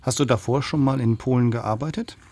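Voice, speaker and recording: A man interviewing guests for a radio program, asking calm, plain questions. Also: mid-range voice, brisk.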